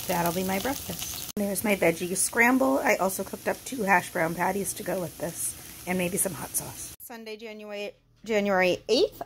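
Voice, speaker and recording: Casual woman home cook, congested voice, easygoing and chatty with lots of ums, middle pitch, faint kitchen sounds behind. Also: a little hiss or hum. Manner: relaxed, chatty, frequent ums. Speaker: home cooking vlogger. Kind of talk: casual kitchen vlog narration.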